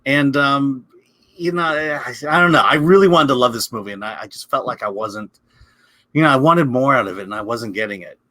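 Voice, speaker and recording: A man's voice: medium pitch, fairly quick, pushed and bright, dry recording. A man chatting casually as a film critic, speaking hesitantly and often repeating his words as he reviews.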